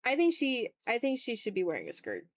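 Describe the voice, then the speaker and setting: A woman's voice: on the high side, wildly swooping pitch, fairly quick, no room sound, studio quality. A woman from the American South chatting casually on an art livestream, self-deprecating and playfully exasperated. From Southern United States. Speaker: streamer. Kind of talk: casual livestream chat while drawing.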